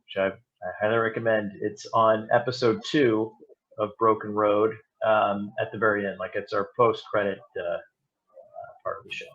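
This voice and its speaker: Male interview guest, lower register, conversational and halting, slightly lo-fi audio. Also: level tone, fairly bright tone. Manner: halting, roundabout phrasing. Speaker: podcast guest, audio drama producer and director. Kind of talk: casual interview talk. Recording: slightly dull, lo-fi sound.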